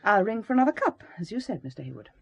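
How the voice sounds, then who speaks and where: An actress playing an anxious, loyal secretary in a radio drama, deferential but stubborn. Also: female voice, medium pitch, big pitch swings, rapid-fire, gravelly, breathy edge, no echo, exaggerated voice. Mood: stubborn concern. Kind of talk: British radio drama acting.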